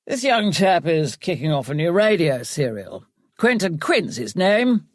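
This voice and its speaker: Audiobook role: pompous older male executive, performed by a woman, pontificating with animated swings of pitch. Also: measured pace, no echo, clean studio sound. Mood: businesslike. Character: pompous, pipe-smoking older male radio drama boss. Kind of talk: audiobook character voice.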